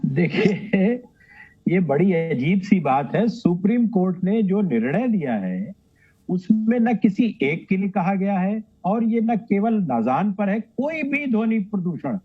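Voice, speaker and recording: Male debate panelist, raspy high voice, emphatic and repetitive, narrow lo-fi connection. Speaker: Congress party politician, debate panelist. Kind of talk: unscripted political debate argument.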